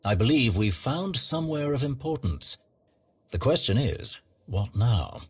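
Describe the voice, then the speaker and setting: Wry, husky Sith sorcerer. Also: male voice, mid-range voice, sing-song, measured pace, darkish tone, exaggerated voice. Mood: wry, dry. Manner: wry, sardonic, formal. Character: wry, haughty male Sith sorcerer adventurer. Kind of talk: scripted video game acting.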